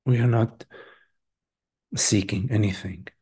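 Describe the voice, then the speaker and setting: A man leading a guided meditation as a teacher, speaking gently and hesitantly in short phrases with long pauses between them. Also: on the low side, steady tone, husky. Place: live online group session.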